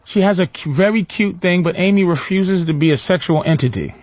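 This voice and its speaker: A man's voice: high voice, a little fast, a little mellow, dull audio. Blunt teasing male radio host. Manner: blunt, teasing, swears freely. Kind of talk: casual radio talk.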